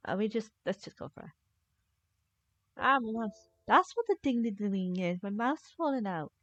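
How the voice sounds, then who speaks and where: A woman's voice: medium pitch, animated, normal speed, a bit bright, muffled recording. A woman streaming a video game, giving casual, playful live commentary and reactions.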